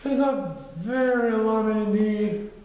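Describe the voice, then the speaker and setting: Man as a simple storybook teddy bear, high-pitched, earnest, curious, strong room echo. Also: a little slow, a little mellow. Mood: proudly earnest. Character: hungry, naive, good-natured male teddy bear.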